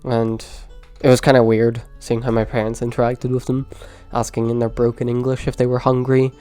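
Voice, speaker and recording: A man's voice: monotone, brisk, dark tone, soft background music. A young man from Poland with a Northern Irish accent, telling his own story in a casual, dry, self-deprecating way. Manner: dry, self-deprecating, low-key delivery. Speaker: vlogger.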